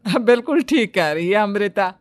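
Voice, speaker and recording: Older mother-in-law for a cartoon tale, female performer: fond, exclamatory, highly varied pitch. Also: expressive, moderate pace, lightly acted. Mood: fond, agreeable. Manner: exclamatory, questioning. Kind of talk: Hindi cartoon story dialogue.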